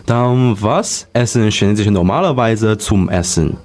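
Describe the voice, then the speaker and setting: A man's voice: on the low side, animated, measured pace, darkish tone. A man hosting a radio show, presenting and putting questions to guests. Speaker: radio host.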